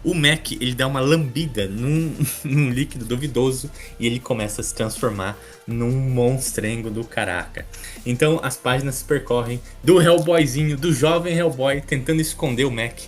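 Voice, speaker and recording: A man's voice: mid-range voice, moderate pace, soft background music. A man with a Brazilian accent hosting a comics podcast, chatting casually and colloquially with amusement. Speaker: podcast host.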